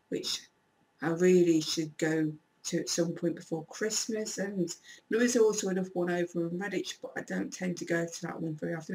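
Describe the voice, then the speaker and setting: A woman from England with a croaky voice, chatting in a rambling, animated way through a shopping haul vlog at home. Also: medium pitch, far from the mic, poor audio. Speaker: YouTuber. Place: small room indoors.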